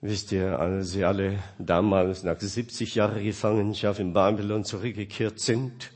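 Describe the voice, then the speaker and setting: A man preaching a sermon in German, which is not his first language, asking his listeners questions and swinging between loud and quiet. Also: lowish voice, flat delivery, normal speed, breathy edge.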